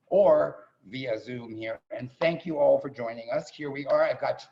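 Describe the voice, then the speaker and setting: A man hosting a show with an informal welcome speech, halting and often repeating his words. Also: mid-range voice, vocal fry. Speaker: host introducing a theatre show. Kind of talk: informal welcome speech with thanks and shout-outs. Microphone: video call. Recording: muffled video call audio.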